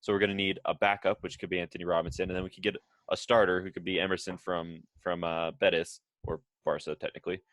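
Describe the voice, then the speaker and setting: Very deep-voiced man, dry close sound. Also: level tone, quick pace, few pauses, a bit bright, no echo. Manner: blunt, joking one-liners. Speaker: podcast co-host. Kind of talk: casual football podcast chat.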